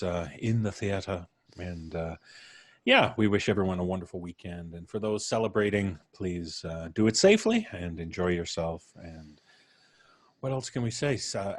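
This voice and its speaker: A man's voice: low-pitched, dry recording. A man hosting a live podcast stream, chatting off the cuff with courteous, slightly theatrical turns of phrase. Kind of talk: unscripted closing remarks to a cast and audience.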